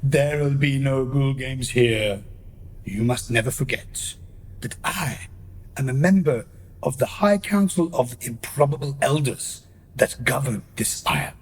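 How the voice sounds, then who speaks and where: A man's voice: medium pitch, unhurried, slight background noise, natural voice. A man playing a haughty aristocratic island elder in a radio play, declaiming pompously. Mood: imperious. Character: haughty aristocratic male island elder, mocking marquis of statistics.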